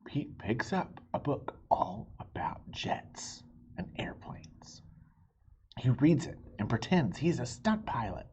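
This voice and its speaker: A woman's voice: rumbling low, big pitch swings, slow pace, darkish tone, whispered. A woman reading a children's picture book aloud, expressively, with friendly asides straight to young listeners. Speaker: read-aloud storybook reader and host. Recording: dull lo-fi sound with faint noise.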